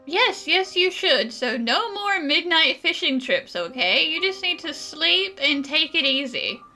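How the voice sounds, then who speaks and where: An actress playing a perky, loyal young female friend in a video game, lively and frank. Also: sits high, measured pace, heavily acted. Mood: supportive, frank. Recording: soft music behind the voice.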